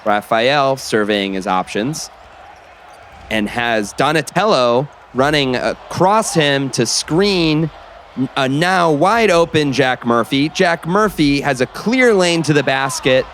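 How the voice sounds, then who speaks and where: A man playing an excited basketball play-by-play announcer on the radio in an audio drama, with dramatic, emphatic calls. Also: medium pitch, slow. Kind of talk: sports commentary in an audio drama. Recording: basketball game noise behind.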